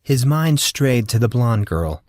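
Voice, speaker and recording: A man's voice: mid-range voice, very animated, slow, a little mellow, no room sound, clean studio sound. A man narrating scripted fiction as an audiobook storyteller.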